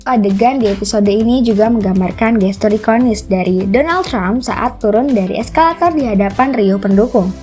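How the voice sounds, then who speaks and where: A woman's voice: medium pitch, normal speed, few pauses, darkish tone. A woman reading a scripted explainer narration as the narrator of a video channel. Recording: music bed under the voice. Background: background music.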